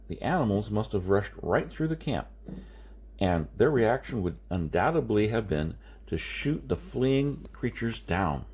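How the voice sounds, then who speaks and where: An insistent man lectures and explains with great emphasis , as read by a male audiobook narrator. His husky voice is lowish and swings widely in pitch as he reasons. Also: a little mellow, heavily acted. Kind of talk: audiobook character dialogue.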